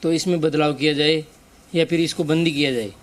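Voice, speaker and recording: A man's voice: fairly high pitch, steady tone, a little fast. A young man preparing for the army, speaking plainly and a bit hesitantly. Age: young adult. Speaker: army aspirant.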